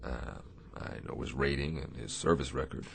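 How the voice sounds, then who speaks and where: An actor playing a gruff, burdened destroyer captain in a radio drama, with a clipped, commanding manner. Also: male voice, very deep voice, moderate pace, slight background noise, heavily acted. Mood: brisk, controlled.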